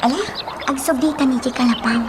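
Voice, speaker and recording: A woman's voice: high-pitched, steady tone, a little fast, very noisy, lightly acted. A woman acting a young, lovelorn village daughter in a radio drama, with an Ilocano Filipino accent. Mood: tender. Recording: loud background music.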